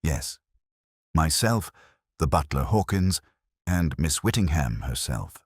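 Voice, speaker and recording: A man as deep-voiced, anxious Victorian secretary. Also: very animated, slow pace, slightly rough, dry recording, clean studio sound, exaggerated voice. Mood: uneasy. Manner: formal, careful, precise. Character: anxious Victorian male private secretary, polite and precise.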